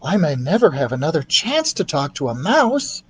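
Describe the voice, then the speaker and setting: A man's voice: fairly high pitch, big pitch swings, moderate pace, breathy edge, pitched above the performer's own voice. A man voicing a friendly, candid young boy in a storybook, speaking in an earnest, confiding way.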